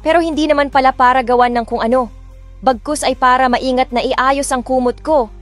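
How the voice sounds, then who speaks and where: A woman's voice: high voice, steady tone, brisk. A woman with a Filipino accent narrating a romance audiobook in the first person, telling it with restrained emotion. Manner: emotional, restrained storytelling in Tagalog and English. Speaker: narrator and voice of the heroine. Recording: background music under the voice.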